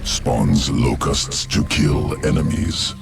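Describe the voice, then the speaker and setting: An actor playing a coldly analytical alien scientist creature in a game, deep and croaking, speaking in clipped telegraphic phrases. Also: male voice, slow, exaggerated voice. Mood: clinical, detached. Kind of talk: video game cutscene acting. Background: loud music.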